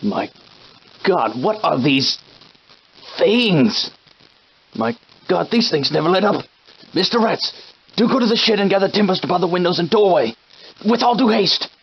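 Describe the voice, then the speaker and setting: An actor playing a genteel, verbose shopkeeper with a villain's streak, in formal, archaic, theatrical game dialogue. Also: male voice, high-pitched, expressive, unhurried, creaky, long pauses, muffled recording, slight background noise, heavily acted. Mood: alarmed. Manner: formal, archaic, florid wording. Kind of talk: theatrical period game acting.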